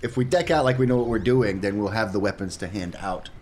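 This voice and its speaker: Deep-voiced man playing a tabletop game. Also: quick pace, a little mellow, a little hiss or hum. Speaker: tabletop roleplaying player. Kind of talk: casual in-game planning chat.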